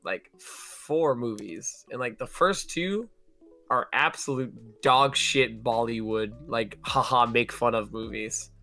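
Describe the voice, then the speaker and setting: A young man guesting on a podcast with a hoarse voice, telling stories in an animated, profane way. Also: medium pitch, takes it slowly, soft background music. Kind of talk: casual chat.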